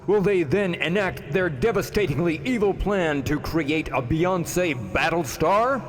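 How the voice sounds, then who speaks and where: A man's voice: a little slow, natural voice. A man playing a melodramatic announcer narrating the cliffhanger of a space villain serial. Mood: grandly suspenseful. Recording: long booming reverb.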